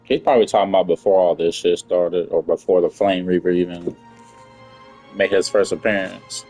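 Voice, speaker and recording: A man's voice: sits low, fairly even intonation, normal speed, a little mellow, faint music behind, poor audio. A man giving casual gameplay commentary in a blunt, slangy, swearing manner. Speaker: gamer reacting to a story quest.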